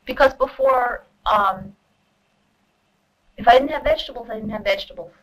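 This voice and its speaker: A woman's voice: a little high, normal speed, poor audio. A woman talking casually and reflectively about her own experience in a documentary interview. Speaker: dieter giving a testimonial. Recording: 1970s documentary film soundtrack.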